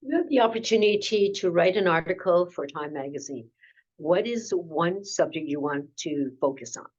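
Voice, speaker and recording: Lower-voiced woman interviewer, dull sound. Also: animated, normal speed, a little mellow. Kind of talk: formal interview questioning. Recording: dull, lo-fi audio.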